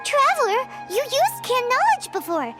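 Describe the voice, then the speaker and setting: A woman voicing a tiny, excitable female fairy companion in a video game, squeaky, blunt and nosy. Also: music in the background, heavily acted. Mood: curious. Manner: squeaky, quick to react, nosy. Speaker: game character.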